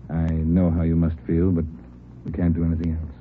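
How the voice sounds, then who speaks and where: An actor playing a firm, commanding police chief detective in 1940s radio acting. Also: male voice, low-pitched, completely flat delivery, a little fast, slight background noise, natural voice. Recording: tinny old radio broadcast.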